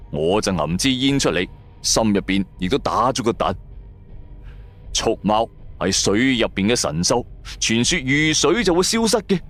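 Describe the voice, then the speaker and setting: Expressive Cantonese man narrating over music. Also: medium pitch. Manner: colloquial, expressive storytelling. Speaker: storyteller performing an audio drama. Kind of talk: dramatic first-person scripted story narration. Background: background music.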